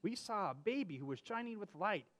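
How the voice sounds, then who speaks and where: A man's voice: high voice, expressive, a little fast, fairly bright tone. A man reading a children's story aloud expressively as a co-host, with playful asides.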